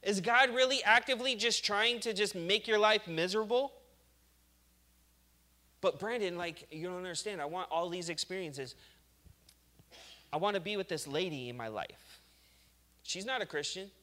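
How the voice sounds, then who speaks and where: A man's voice: high voice, a little slow, pauses often, bright, forceful tone. A male pastor giving a conversational sermon, acting out the people he talks about. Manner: conversational preaching, acts out complainers.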